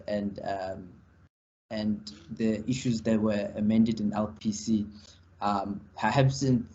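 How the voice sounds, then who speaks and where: A man speaks on a legal panel in a fairly low voice, stopping and restarting often with plenty of fillers. The sound is dull and muffled, as on a video call. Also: a little mellow. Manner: halting, full of fillers and restarts. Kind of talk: panel discussion answer.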